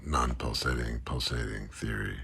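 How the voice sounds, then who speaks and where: A man's voice: extremely low pitch, steady tone, normal speed, creaky, bright, forceful tone. A man giving a scripted reading of a mock-scholarly literary essay. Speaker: reader of a literary text. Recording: faint background noise.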